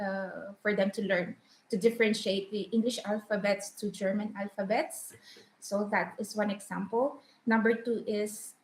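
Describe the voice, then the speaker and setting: A Filipino woman, a mother, answering interview questions with many pauses and polite fillers. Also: mid-range voice. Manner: hesitant, many ums, polite "po". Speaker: guest, mother. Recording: roomy echo.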